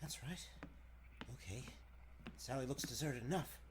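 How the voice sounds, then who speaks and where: A man's voice: medium pitch, measured pace, noisy background, pitched below the performer's own voice, close to an everyday voice. A man playing a bold, earnest superhero thinking aloud, declamatory and melodramatic in 1940s radio serial style. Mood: determined, hushed.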